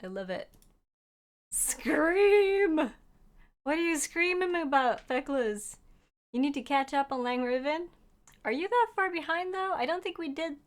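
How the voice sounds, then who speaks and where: A woman's voice: high voice, expressive, unhurried. A young woman who speaks Norwegian as her first language chats casually with viewers while playing, reading their messages aloud and laughing often. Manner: chatty and giggly, laughs often; talks to chat while playing. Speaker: game streamer.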